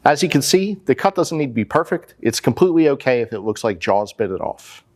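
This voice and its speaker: A man's voice: medium pitch, big pitch swings, brisk. Plain-spoken American man giving instructions. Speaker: product installation instructor. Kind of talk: step-by-step tutorial narration.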